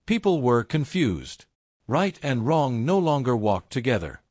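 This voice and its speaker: A male storyteller delivers a scripted folktale with grave weight. His pitch moves in animated swings across short, measured phrases. The sound is dry and close, with little room around it. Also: dry recording. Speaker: storyteller, narrator.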